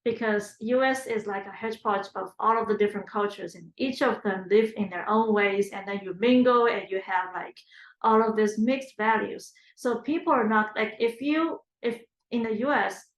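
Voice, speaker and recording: A woman in her thirties from China, first language Chinese, answering an oral history interview. She talks in a reflective way, pausing on fillers and restarting her sentences. Her mid-pitched voice carries a noticeable echo from the room. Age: 30s.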